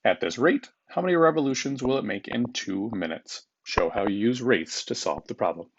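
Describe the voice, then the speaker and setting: A man's voice: medium pitch, lively intonation, normal speed, muffled recording, a little hiss or hum. A man speaking as a teacher, reading test questions aloud to students in an informal, instructive manner and repeating them.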